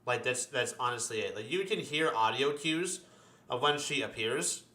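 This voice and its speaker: A man's voice: medium pitch, lively intonation, moderate pace, bright, forceful tone. A man chatting casually and emphatically about video game monsters, as a host who swears a lot. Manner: profane, emphatic. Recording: roomy echo.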